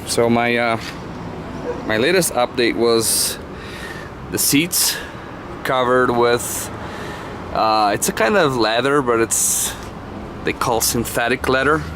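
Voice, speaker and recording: Brazilian-accented man, non-native English, hesitant car vlogger, mid pitch, unhurried delivery. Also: animated, noisy background. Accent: Brazilian Portuguese. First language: Portuguese. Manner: hesitant, many ums and uhs, searching for words. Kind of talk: casual car walkaround. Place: around a parked car.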